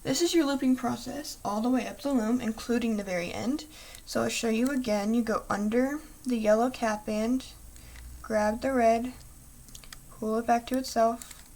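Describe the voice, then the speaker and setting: A young woman hosting a craft tutorial, soft-spoken and apologetic, talking through each step while losing her voice. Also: on the high side. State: losing her voice, clearing throat.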